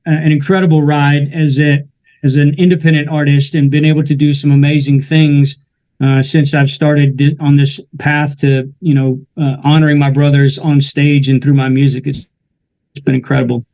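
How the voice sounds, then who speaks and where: A man in his forties, a veteran and musician, telling his story in an earnest, steady way during a casual interview. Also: on the high side, normal speed, dull audio. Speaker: guest musician and army veteran.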